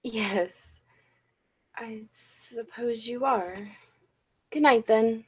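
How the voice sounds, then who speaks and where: Casting: actress as confident eccentric woman professor, 1940s radio drama. Highish voice, unhurried, assured declamation. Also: husky, full character voice. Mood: cool, matter-of-fact. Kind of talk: 1940s radio play acting.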